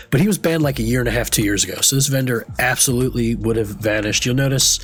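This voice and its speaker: A man with a stuffy, congested voice, casually talking through a screen-recorded game tutorial in a rambling, offhand way, swearing now and then. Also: lowish voice. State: stuffy from a cold. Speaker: game vlogger.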